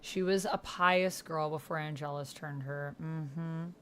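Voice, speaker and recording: A woman's voice: low voice, steady tone, a little slow. A woman giving casual commentary while typing and reading out an online roleplay chat, thinking aloud as she goes. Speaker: YouTuber playing a text-based roleplay game. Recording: noticeable background noise, keyboard typing. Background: computer keyboard typing.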